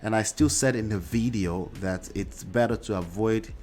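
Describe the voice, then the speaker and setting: A man's voice: fairly low pitch, normal speed, a little mellow, soft background music. A Nigerian man whose first language is Yoruba hosts a livestream and talks casually in a Nigerian accent, often using "uh" and "right?". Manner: conversational, with frequent "uh" and tag questions like "right?". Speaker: show host. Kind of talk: livestream commentary reading viewer comments.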